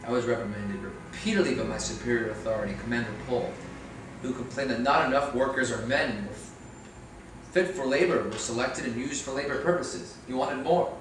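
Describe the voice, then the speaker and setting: A man's voice: medium pitch, a little fast, lightly acted. Man acting formal commandant, very echoey, distant. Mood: matter-of-fact. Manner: formal, clipped, bureaucratic. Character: unrepentant former Nazi camp commandant on trial, matter-of-fact. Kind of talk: staged play reading. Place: indoor room at a live staged reading, distant mic. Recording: very echoey, noisy room, distant mic.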